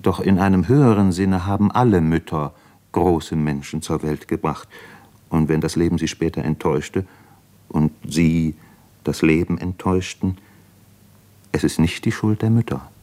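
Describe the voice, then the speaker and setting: A man's voice: sits low, normal speed, warm tone, lightly acted. An actor playing a brooding, ailing man who searches himself in an introspective diary monologue for a radio play. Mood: tender, melancholy.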